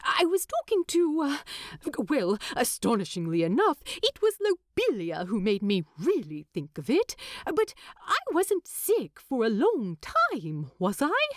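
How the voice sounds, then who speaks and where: An eager, stubborn little hobbit gentleman, played by a woman narrator, talks in a high, wildly swinging voice. He rambles and hesitates as he argues his case in a storybook reading. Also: huge pitch swings, unhurried, no room sound, studio quality. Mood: excited, hesitant. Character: excitable, talkative adult male hobbit, eager and stubborn.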